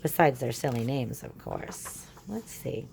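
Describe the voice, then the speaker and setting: A woman's voice: a bass voice, animated, a little slow, creaky, a little mellow, slight background noise. A woman giving a children's lesson and reading a storybook aloud, instructive and asking her listeners questions. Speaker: teacher reading a picture book aloud.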